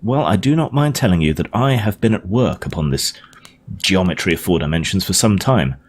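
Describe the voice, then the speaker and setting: A man voicing an earnest Victorian gentleman scientist in an audiobook, lecturing his guests in a precise, emphatic, didactic way. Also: sits low, lively intonation, fairly quick. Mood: earnest explaining. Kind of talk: audiobook character dialogue. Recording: clearly noisy home recording.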